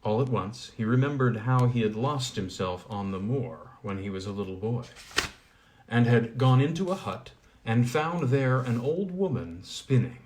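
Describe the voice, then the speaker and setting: A man with a middle-pitched voice reads an old fairy tale aloud. His pitch moves a lot, rising and falling with the story. Between chapters he chats loosely and fills gaps with ums. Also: expressive, a little mellow, a little hiss or hum. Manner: chatty with fillers in recap, measured when reading. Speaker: reader. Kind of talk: casual recap, then reading a fairy tale aloud.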